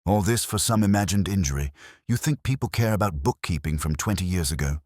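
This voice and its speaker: A man's voice: deep voice, animated, brisk, darkish tone, no echo, clean studio sound, voice pushed up. A man voicing a defensive, blustering male colonel in an audiobook, indignant and dismissive in manner. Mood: scornful. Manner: indignant, scoffing.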